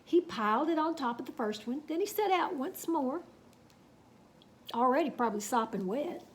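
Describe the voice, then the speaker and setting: Very animated, high-voiced woman storyteller. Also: normal speed. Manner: animated, folksy, dramatic. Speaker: children's story reader. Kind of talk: expressive storybook read-aloud with folksy asides.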